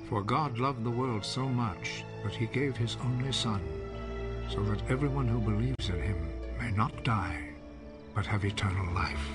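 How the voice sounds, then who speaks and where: A man narrating a biblical film in a measured, solemn, scripted style. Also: fairly low pitch, lightly acted. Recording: film soundtrack with music behind. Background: music.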